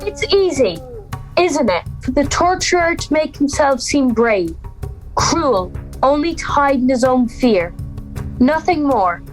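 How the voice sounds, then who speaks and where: A young woman playing an anxious, defiant schoolgirl in an audio drama, speaking in a halting, self-questioning way. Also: sits high, lively intonation, faint music behind, low-quality recording. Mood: resolute. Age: teenage or young adult. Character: anxious defiant schoolgirl, Little Red, hiding in woods. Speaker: youth theatre actor. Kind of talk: audio drama monologue and dialogue.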